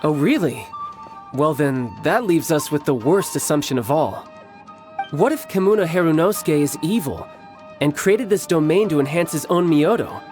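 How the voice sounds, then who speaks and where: A cocky young warrior from a video game, played by a man, smug and casually probing. The delivery is animated, rising and falling freely, with faint music underneath. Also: a little high, normal speed, close to an everyday voice. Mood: provoking. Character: cocky, battle-hungry young male warrior, smooth and calculating.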